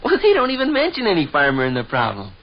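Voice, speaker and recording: An actor playing an earnest, patient American schoolboy nephew in a chummy 1940s radio comedy style. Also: male voice, fairly high pitch, wildly swooping pitch, fast talker. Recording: dull vintage 1940s radio recording.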